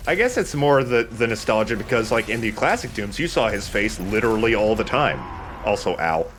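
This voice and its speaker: A man's voice: fairly low pitch, lively intonation, brisk, fairly bright tone, slight background noise. A man doing informal, opinionated commentary over a video game as a let's player.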